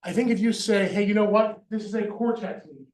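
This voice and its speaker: A high-pitched man lectures with playful energy, tossing in jokes and quick checks with the class. His voice rings around a roomy hall and sounds set back from the mic. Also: recorded from a distance. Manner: animated, joking, says "y'all" and "right?". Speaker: veterinary neurology lecturer. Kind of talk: university lecture. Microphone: handheld or lapel microphone.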